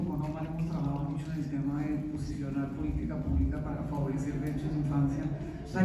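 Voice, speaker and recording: A man moderating a conference session, rambling in trailing phrases with frequent restarts. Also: on the high side. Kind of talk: conference panel remarks. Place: large conference hall. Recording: echoey hall from far off, audience noise.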